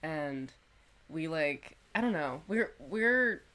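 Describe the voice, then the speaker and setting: A woman's voice: low-pitched, animated, slow, bright, forceful tone. A young woman co-hosting a casual podcast, chatting loosely with plenty of "like" and swearing. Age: young adult. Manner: casual, swears freely, lots of "like". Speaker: podcast co-host. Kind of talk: casual chat.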